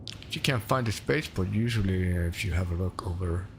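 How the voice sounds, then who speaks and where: Swedish-accented male streamer, low voice, chatty and rambling, faint hum behind. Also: a little fast. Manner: chatty, rambling, self-correcting. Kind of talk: casual gameplay commentary.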